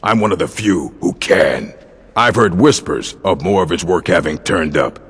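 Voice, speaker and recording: An actor playing a gruff older male orc librarian in a video game, dry, weary and curt, with a gravelly, husky voice. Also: deep voice, expressive, moderate pace, a bit bright, full character voice. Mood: matter-of-fact. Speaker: game character. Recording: long hall reverb.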